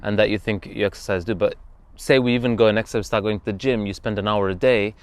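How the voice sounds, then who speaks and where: A man's voice: on the low side, steady tone, fast, no echo. A man from Zambia hosting a casual interview, speaking in an agreeable, affirming way as he shares thoughts and leads into questions.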